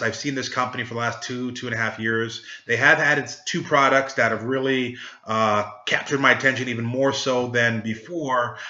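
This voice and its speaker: A man from Trinidad giving an upbeat, chatty, jokey sales pitch straight to camera. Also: pushed and bright, roomy sound, lo-fi sound. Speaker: network marketing recruiter.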